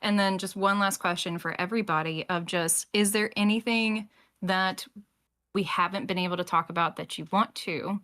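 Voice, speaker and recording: A woman's voice: medium pitch, fairly even intonation, normal speed, fairly bright tone. A woman hosting a panel in a casual, conversational way. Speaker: panel host. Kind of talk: casual chat.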